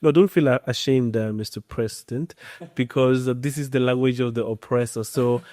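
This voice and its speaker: A man's voice: medium pitch, normal speed, dark tone, no echo. Male podcast host, mid-range voice, interviewing a guest, close dry recording. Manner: affirming with frequent mm-hmms, laughs lightly. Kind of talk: interviewer asking questions.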